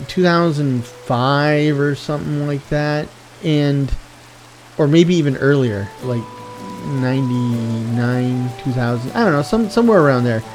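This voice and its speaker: A man's voice: a little high, darkish tone, slight background noise. A middle-aged American man chatting casually and at length, wandering off track and correcting himself as he talks. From USA. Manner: meandering, self-correcting, reflective. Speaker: video game streamer. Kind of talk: rambling casual chat.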